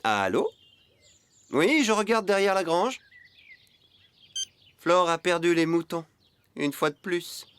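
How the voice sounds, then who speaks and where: A man's voice: high-pitched, animated, slow pace, pauses often, fairly bright tone, a little hiss or hum, heavily acted. A man voicing a hapless, easily flustered farmhand in a preschool cartoon, very expressively. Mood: busy. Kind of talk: dubbed preschool cartoon acting.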